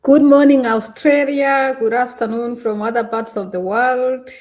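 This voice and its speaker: A woman's voice: on the high side, steady tone, unhurried, darkish tone. Upbeat woman from Kenya. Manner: upbeat, welcoming, promotional. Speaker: guest, digital business owner.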